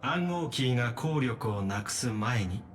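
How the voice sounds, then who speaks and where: An actor playing a courteous, logical machine genius with formal, precise speech in an anime-style game. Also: male voice, medium pitch, lively intonation, fairly quick, slight background noise, lightly acted. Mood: calm, reassuring. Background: game music.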